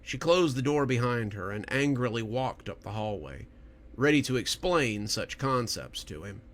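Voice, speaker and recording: A man's voice: mid-range voice, lively intonation, normal speed. A man speaking as the narrator and host of a horror storytelling show.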